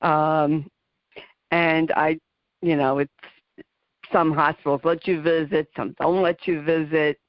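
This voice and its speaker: A woman's voice: deep voice, level tone, takes it slowly, long pauses, no room sound. A woman from New Jersey with a New Jersey accent hosting a radio talk show, effusive and chatty, with many fillers. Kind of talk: casual interview chat. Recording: muffled dry radio audio.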